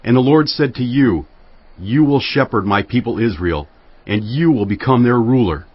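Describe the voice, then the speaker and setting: An actor playing a loyal Israelite elder, husky and solemn, declaiming in a dramatized audio Bible. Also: male voice, medium pitch, lively intonation, normal speed. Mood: earnest, solemn. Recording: dull, lo-fi audio.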